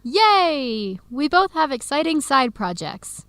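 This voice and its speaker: Supportive best friend, young woman, slightly high voice, enthusiastic, scripted radio drama, dry sound. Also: big pitch swings, takes it slowly, a bit bright, no echo, heavily acted. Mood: supportive, upbeat. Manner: encouraging, enthusiastic.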